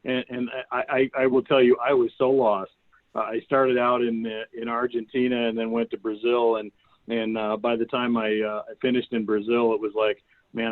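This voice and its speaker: A man's voice: fairly low pitch, dry recording. A man hosting a group call, casually chatting and telling anecdotes in an informal way, over narrow call audio. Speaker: meeting host. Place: video call.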